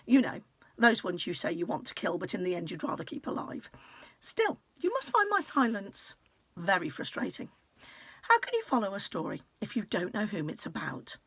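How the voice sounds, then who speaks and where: A woman reading a children's book aloud like a teacher, in an expressive, conspiratorial and playful storytelling manner with hushed, confiding phrasing. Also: mid-range voice, normal speed, slightly rough, dry recording. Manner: conspiratorial, playful, dropping into hushed near-whispers.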